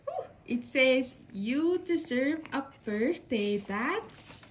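Slow, lively woman. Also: high-pitched, slight background noise. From Estonia. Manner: gentle, cooing baby-talk to her dogs, frequent soft laughs. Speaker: pet channel host. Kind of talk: casual vlog narration reading mail aloud. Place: home room with bed, off camera.

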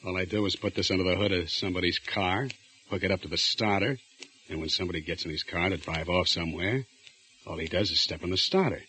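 A man's voice: low-pitched, fast, pushed and bright, poor audio. An actor playing a menacing escaped male convict in a 1940s radio drama, cold and insinuating. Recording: old 1940s radio broadcast with music.

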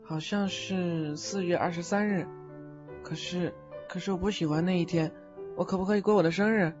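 A man plays a gentle, naive boy ghost in an audio drama, shy and halting in his speech. The recording is dull and lo-fi, with music behind him. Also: high voice, steady tone, natural voice. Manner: hesitant, soft-hearted, stammering. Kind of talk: Chinese audio drama acting.

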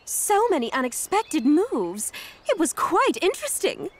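A woman's voice: very high-pitched, sing-song, measured pace, faint music behind, full character voice. A woman playing a composed, haughty young fighter in a video game, formal and poised in her speech. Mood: intrigued, poised. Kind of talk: video game cutscene acting.

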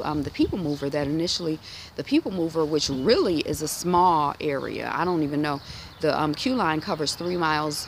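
A woman in her thirties talks casually into a phone held at arm's length while walking down a residential street, musing aloud with many fillers and restarts. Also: low voice. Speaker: vlogger. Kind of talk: casual walk-and-talk monologue. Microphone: phone camera at arm's length. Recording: outdoor street noise behind.

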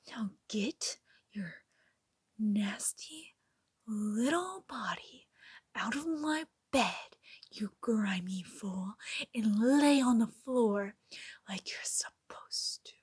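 A cruel, controlling young girlfriend, played by a young woman, hisses commands in a very breathy, airy whisper. She drawls slowly and menacingly at a slightly raised pitch, right up against the mic in a small bedroom. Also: expressive, heavily acted. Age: young adult. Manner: slow, menacing, commanding whispers close to the camera. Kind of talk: ASMR roleplay. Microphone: close camera mic.

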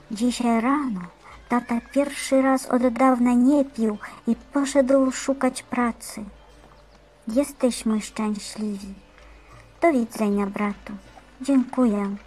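A woman's voice: a little high, darkish tone, poor audio. A woman playing an innocent, grateful little girl in a cartoon, speaking carefully and earnestly. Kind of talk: amateur stage-play acting in a cartoon.

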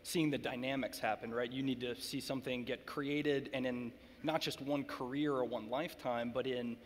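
A man's voice: medium pitch, steady tone. Man asking questions in a reverberant hall. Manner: measured, sets up questions with context. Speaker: interviewer. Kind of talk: onstage interview questions. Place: large hall stage, seated in armchair. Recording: long hall reverb, faint room noise.

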